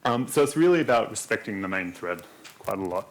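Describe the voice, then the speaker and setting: Male tech speaker with a lowish voice, calmly walking an audience through demos. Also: a little fast, low-quality recording. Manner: explanatory, steady walkthrough of code and demos. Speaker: conference speaker. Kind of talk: technical conference talk walking through demos.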